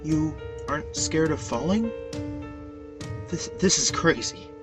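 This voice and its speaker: A man's voice: a little high, lively intonation, slow, music in the background, pitched above the performer's own voice. A man voicing an anxious, easily alarmed schoolgirl in urgent exclamations for a horror story reading. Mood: shocked, alarmed.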